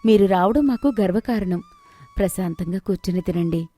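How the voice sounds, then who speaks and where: A woman voicing a kind, humble, respectful poor village wife in an animated moral story. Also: mid-range voice, normal speed, soft background music, natural voice. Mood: reassuring, respectful. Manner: gentle, earnest, deferential.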